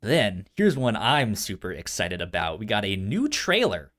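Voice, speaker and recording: Animated man with a noticeable stammer. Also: lowish voice. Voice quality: stammer, repeating sounds and syllables. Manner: enthusiastic, halting. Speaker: podcast co-host. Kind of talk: casual unscripted podcast chat about entertainment news.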